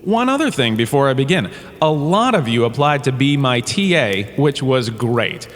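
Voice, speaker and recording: Audio drama comedy lead: male actor as breezy, self-excusing, has-been star lecturing, mid-pitched, reverberant space. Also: expressive, moderate pace, close to an everyday voice. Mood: wry, self-satisfied. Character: washed-up, self-important holodrama star turned galactic history lecturer. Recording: cavernous reverb with faint background noise.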